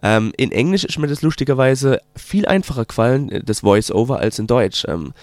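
A man with a Palatinate German accent talks casually about his work in an interview, mid-pitched and unhurried. He pauses often and fills gaps with hesitation sounds. The recording is dry and close. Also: lively intonation, darkish tone, no room sound. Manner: hesitant, many filler sounds, slow drawn-out phrasing. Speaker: documentary filmmaker guest.

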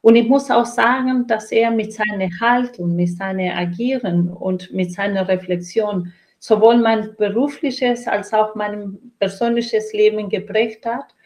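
A woman's voice: medium pitch, unhurried, hardly any pauses, a little mellow. Earnest middle-aged woman, Latin American accent. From Chile and Brazil. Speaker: interview guest, trainer and coach. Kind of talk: reflective interview answer about own life and work.

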